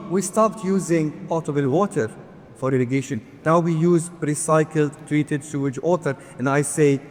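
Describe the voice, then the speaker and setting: Male conference presenter, earnest with light humor, slightly high voice, long hall reverb. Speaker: conference speaker.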